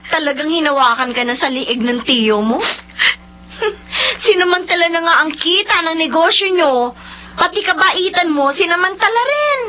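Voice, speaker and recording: Outspoken loyal best friend, high voice, lively pitch swings, muffled radio drama, faint music. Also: female voice, moderate pace, full character voice. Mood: indignant. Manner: indignant, lively. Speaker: Joy, the heroine's friend.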